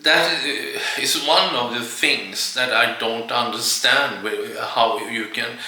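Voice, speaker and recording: A man's voice: medium pitch, pushed and bright. A man from Sweden with a Swedish accent, speaking English as a second language. He gives earnest personal commentary and keeps stopping to search for words. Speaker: vlogger. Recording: very echoey room.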